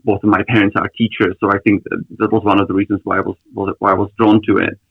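A man in his thirties from the Czech Republic, a lawyer being interviewed on a podcast, answering thoughtfully with many pauses and restarts. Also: fairly low pitch, flat delivery, gravelly, no echo, phone-quality sound. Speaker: lawyer, guest.

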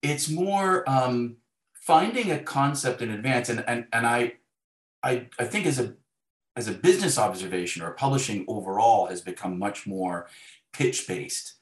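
A man talking reflectively and haltingly as a novelist being interviewed, often voicing imagined remarks. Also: medium pitch, animated. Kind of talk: thoughtful conversational interview. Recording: roomy, echoing sound.

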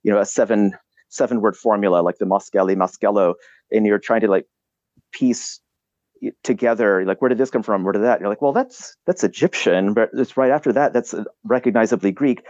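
A man with a low voice talks in a reflective, halting way, circling back and restarting as he reaches for words. His recording sounds dry and close, with a dull, muffled tone. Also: darkish tone, no room sound. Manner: halting, many restarts and "you know" fillers. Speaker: podcast guest. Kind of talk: reflective conversational explanation.

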